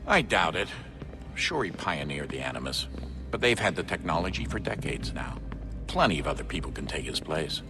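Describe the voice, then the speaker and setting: Low-voiced, seasoned middle-aged veteran. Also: male voice, very animated, normal speed, vocal fry, music in the background, heavily acted. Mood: matter-of-fact. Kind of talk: video game cutscene acting.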